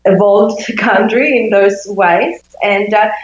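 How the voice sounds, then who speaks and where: A woman in her fifties from Sweden, a native Swedish speaker, giving reflective interview answers with thoughtful pauses in a conversational style. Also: medium pitch, roomy sound, muffled recording. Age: 50s. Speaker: interview guest, intimacy coach.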